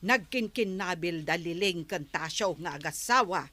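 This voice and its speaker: Bright, gossipy village woman. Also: lowish voice, animated, normal speed, soft background music. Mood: gossiping. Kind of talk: radio drama acting.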